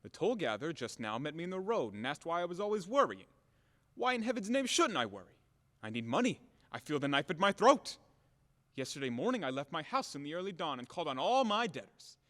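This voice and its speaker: An actor playing a furious, self-pitying landowner who rants and grumbles theatrically in an audio play comedy. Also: male voice, a little high, big pitch swings, brisk, fairly bright tone. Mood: indignant, demanding. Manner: theatrical, exasperated ranting and grumbling. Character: blustering, furious, self-pitying male landowner demanding his money. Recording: echoey hall-like reverb.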